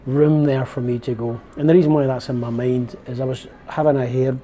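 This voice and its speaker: Glaswegian guy in his 50s, mellow voice, rambly vlog chat, bit of street hiss behind. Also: mid-range voice, flat delivery, fairly quick. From Scotland. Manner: rambling, chatty, self-correcting. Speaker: vlogger. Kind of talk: casual reflective vlog. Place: outdoors on a windy open-top bus tour.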